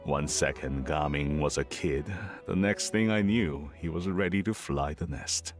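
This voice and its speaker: An actor playing a worried middle-aged father, hesitant, soft-spoken and anxious. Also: extremely low pitch, unhurried, faint music behind. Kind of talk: game cutscene voice acting.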